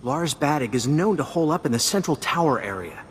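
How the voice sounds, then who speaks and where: Man playing concerned jedi master, lively rising voice, long reverb. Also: a little high, a little fast, slight background noise, close to an everyday voice. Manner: earnest, expressive. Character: concerned male Jedi master, earnest advisor.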